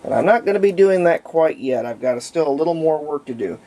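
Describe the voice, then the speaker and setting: A man narrating his repair of an old radio in a halting, casual way, thinking aloud as he works. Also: a little high, lively intonation, dark tone. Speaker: radio restorer.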